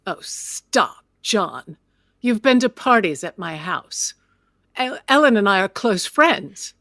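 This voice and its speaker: A wealthy widow of the 1920s, speaking with imperious, cutting sarcasm and easy indignation. Her mid-pitched voice sits close and dry, in the manner of a period radio play. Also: lively intonation, slow pace, bright, forceful tone, dry recording, full character voice. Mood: indignant. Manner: arch, sardonic, imperious. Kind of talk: period audio drama acting.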